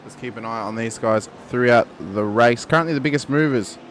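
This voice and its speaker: A man giving lively play-by-play commentary on a sim race. He talks straight to the viewers and lifts his voice for the big moments. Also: medium pitch, a little fast, slight background noise.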